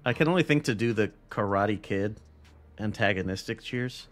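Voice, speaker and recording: A man's voice: lowish voice, moderate pace. A man co-hosting a podcast in casual chat, wry and sarcastic. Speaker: podcast co-host.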